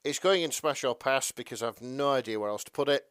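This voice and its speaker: A man's voice: medium pitch, a little fast, a bit bright, smooth, dry recording, studio quality. A man co-hosting a podcast in casual chat, talking in a hesitant, sweary way. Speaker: podcast co-host.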